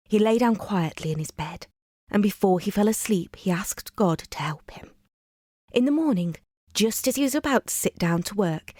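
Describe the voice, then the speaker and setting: A woman's voice: medium pitch, a little mellow, dry recording. Woman reading a bedtime story, dry sound. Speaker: storyteller, reader. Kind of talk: scripted bedtime story narration.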